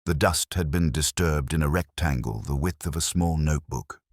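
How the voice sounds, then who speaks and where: A man reading a scripted first-person detective story in a measured, brooding, literary manner. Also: very deep voice, a little mellow, no room sound, clean studio sound. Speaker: narrator of a detective mystery story.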